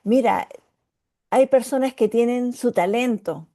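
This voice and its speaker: A woman's voice: on the high side, a little slow, mellow. A woman guest from a ministry answering in conversation, hesitant and searching for words.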